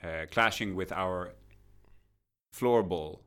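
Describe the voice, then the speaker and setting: A middle-aged man from Sweden, a native Swedish speaker, chatting on a podcast as a guest lecturer in a measured, dry, witty way. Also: low-pitched, fairly bright tone, clean tone. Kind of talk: casual podcast conversation.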